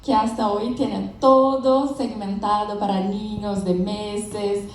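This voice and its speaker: A woman's voice: mid-range voice, fairly even intonation, slow pace. Brazilian woman presenting in an echoey hall. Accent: Brazilian Portuguese. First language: Portuguese. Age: young adult. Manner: friendly, laughing often, searching for words. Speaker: conference speaker. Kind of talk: conference presentation. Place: large event hall with audience. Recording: echoey hall from a distant mic.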